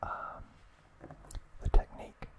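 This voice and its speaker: A man whispering an ASMR trivia recap in a breathy, unvoiced, hushed voice with gentle, halting pauses. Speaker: ASMR host.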